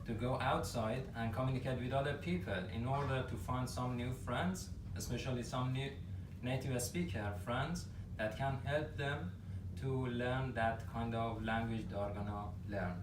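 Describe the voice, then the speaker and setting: A man from Iran, a language student, giving his part in a group discussion at a slow, measured pace with some self-corrections. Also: mid-range voice. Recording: roomy, distant, noisy classroom recording.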